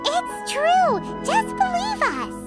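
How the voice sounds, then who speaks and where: A woman voicing a tiny, cheeky, excitable fairy girl companion in a video game, with a squeaky childlike voice. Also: takes it slowly, loud music behind the voice, full character voice. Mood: earnest, excited. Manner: cheeky, exclamatory.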